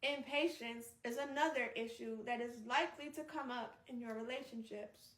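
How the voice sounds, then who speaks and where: A woman hosting a read-aloud, moving between narration and casual, expressive, chatty asides. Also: a little high, moderate pace. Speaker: host reading a book aloud on a live stream. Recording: roomy echo, distant microphone.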